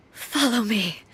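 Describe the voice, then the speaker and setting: A woman voicing a solemn, regal immortal warrior ruler in a video game, speaking in a formal, grave, measured way. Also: a little high, fairly bright tone, slightly rough, exaggerated voice. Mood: pained, solemn. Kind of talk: game cutscene voice acting.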